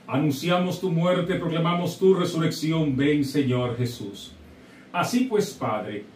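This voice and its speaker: Dominican priest, middle-aged guy, slow solemn mass prayers, kinda high voice, roomy echoey sound. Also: darkish tone. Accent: Dominican Spanish. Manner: solemn, measured liturgical recitation, also saying the people's responses. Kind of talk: saying Mass, liturgical prayer. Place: small studio before a backdrop. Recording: roomy, slightly distant mic with faint hiss.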